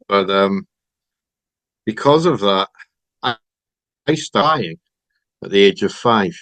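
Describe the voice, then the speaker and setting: A middle-aged Scottish man telling his personal story on a podcast over a remote call, in a reflective, halting way with many pauses and ums. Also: on the low side, no room sound. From Scotland. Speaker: podcast guest.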